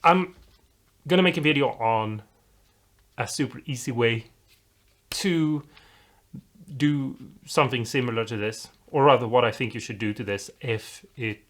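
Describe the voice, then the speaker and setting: DIY video host from Europe: informal, mid-pitched, encouraging, rambles through step-by-step instructions. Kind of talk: casual tutorial, talking viewers through a build.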